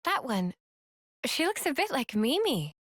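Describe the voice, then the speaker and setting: A woman's voice: high voice, very animated, unhurried, a bit bright, no room sound, studio quality, heavily acted. An actress playing an earnest, inquisitive young female soldier in a video game, with a questioning manner. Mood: curious. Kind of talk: video game cutscene acting. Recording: music behind the voice.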